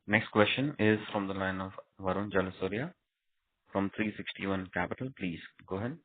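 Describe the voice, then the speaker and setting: A man's voice: low-pitched, steady tone, brisk. A man moderating a conference call with formal, scripted announcements. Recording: phone-like conference line.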